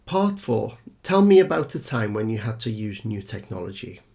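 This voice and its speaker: A man acting as an exam tutor, giving scripted instructions in a clear, instructional manner. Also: on the low side, sing-song, moderate pace, a little mellow.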